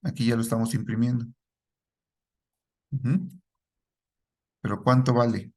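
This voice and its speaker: A man leads a live programming lesson at an easy, mid-range pitch. He questions his students and echoes back what they say. Each point ends with a quick check that the class is following. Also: steady tone, dark tone. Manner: asks the class questions and repeats their answers, ends lines with a checking "¿sí?". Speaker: programming teacher.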